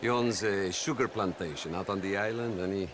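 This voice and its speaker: A man's voice: low-pitched, fairly quick, background noise, close to an everyday voice. A man playing a pompous, sardonic crime boss with an Italian accent in a game drama. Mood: contemptuous, amused. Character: haughty, sneering Italian male crime boss. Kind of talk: dramatic video game acting.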